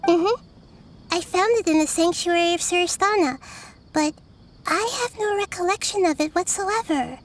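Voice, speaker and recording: A woman voicing a gentle, wise, childlike girl deity in a video game, explaining things calmly and thoughtfully in a soft voice. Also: very high-pitched. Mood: gentle, pensive. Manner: calm, thoughtful, measured explaining. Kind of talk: scripted video game dialogue.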